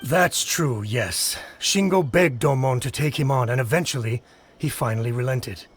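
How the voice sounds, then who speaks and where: An actor playing a frank, scornful village gossip in a video game, with a slightly husky voice. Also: male voice, medium pitch, moderate pace, soft background music, lightly acted. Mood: disapproving. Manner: dismissive, frank.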